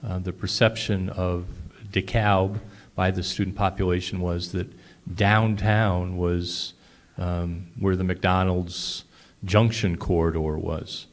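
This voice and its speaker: Halting, low-voiced man giving public comment. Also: flat delivery. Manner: halting, many ums and restarts. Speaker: resident commenting at public meeting. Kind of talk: public comment at open house.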